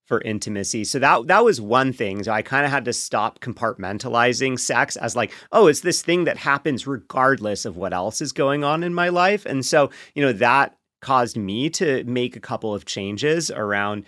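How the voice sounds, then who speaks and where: A man speaking as a podcast guest, explaining things candidly in a reflective, measured, conversational way. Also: medium pitch, expressive, a bit bright, no echo, studio quality. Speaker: podcast guest, co-author and partner of a sex therapist.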